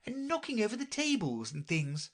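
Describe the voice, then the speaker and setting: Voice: medium pitch, huge pitch swings, moderate pace, pitched above the performer's own voice. A reader voicing a flustered, rambling queen in a storybook audiobook, with a fluttery, anxious manner. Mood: fretful. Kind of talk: audiobook character voice.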